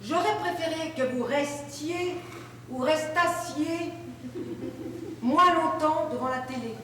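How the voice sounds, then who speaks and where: A woman on stage reading grammar examples and old texts aloud in a precise, deliberate way, in a live performance. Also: high voice, fairly bright tone, slight background noise. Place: large hall, live performance. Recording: echoey hall from far off.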